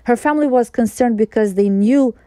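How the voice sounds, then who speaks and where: A woman's voice: a little high, brisk, dark tone, no room sound, clean studio sound. A woman from Kosovo, speaking English as a guest journalist in an interview, earnest and explanatory, often restarting her sentences. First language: Albanian. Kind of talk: interview conversation.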